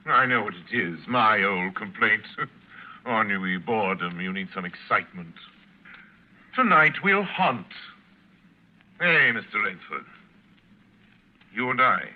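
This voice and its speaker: A muffled vintage radio thriller recording of a suave, gloating general who hunts men for sport. His low voice swoops theatrically from silky murmurs to high relish. He lingers over his hesitations with courtly menace. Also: lively intonation, slow, pauses often. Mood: eager, mocking. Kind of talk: 1940s radio drama acting.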